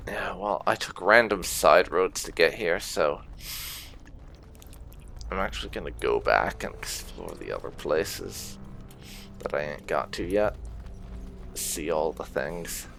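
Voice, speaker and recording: A man's voice: low voice, lively intonation, slow pace, vocal fry, long pauses. A man giving casual gameplay commentary in a wry, understated manner. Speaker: gaming channel host. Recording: game music loud behind voice. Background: game music.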